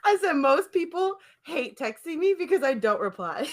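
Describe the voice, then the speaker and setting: A woman co-hosting a casual comedic podcast, telling stories in an animated, slangy way, acting out her replies and laughing often. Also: high-pitched, moderate pace. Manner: animated storytelling, acts out her own text replies. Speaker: podcast co-host.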